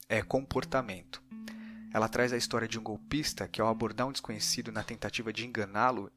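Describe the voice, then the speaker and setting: A man's voice: mid-range voice, a bit bright. A man with a Brazilian accent narrating a scripted podcast as its host.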